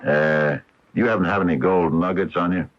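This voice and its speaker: Very low husky voice, earnest stubborn small-town storekeeper acted by a man, radio mystery drama, muffled old broadcast. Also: brisk, natural voice. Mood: sheepish, coaxing. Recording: dull lo-fi 1980 radio broadcast.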